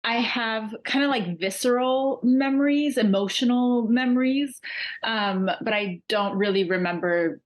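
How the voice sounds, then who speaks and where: Born in Iran, raised in the US, speaks as a frank, animated interview guest with loose, filler-heavy talk. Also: female voice, a little high, a little slow, muffled recording. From Iran, raised in the USA. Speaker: podcast guest, business coach. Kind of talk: casual interview.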